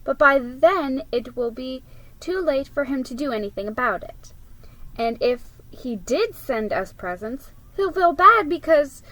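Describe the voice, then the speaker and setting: Woman reading as a slow, lecturing older sister. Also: sits high, lively intonation, pushed and bright, voice pushed up, exaggerated voice, rough recording. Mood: patiently lecturing. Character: patient, know-it-all older sister, a girl.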